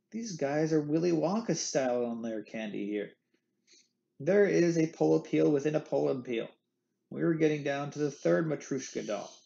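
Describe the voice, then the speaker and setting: A man with a gravelly, mellow voice talks through lecture slides in a playful, jokey way, full of candy analogies. The sound is a bit dull and lo-fi, like a computer mic. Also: fairly high pitch. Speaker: anatomy instructor. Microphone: screen recording with webcam.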